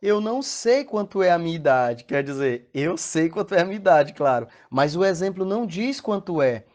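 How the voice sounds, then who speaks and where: A man's voice: a little high, lively intonation, normal speed, darkish tone. Patient Brazilian male math teacher. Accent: Brazilian Portuguese. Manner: patient and explanatory, asks rhetorical questions, checks in with "tá?". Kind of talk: recorded classroom lesson.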